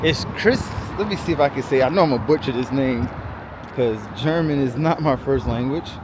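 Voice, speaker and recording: A man filming a casual travel vlog while walking outdoors through quiet city streets, rambling chattily with lots of "like" and "um". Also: medium pitch, a little fast. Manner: rambling, chatty, many "like" and "um" fillers. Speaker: travel vlogger. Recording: outdoor street noise and traffic.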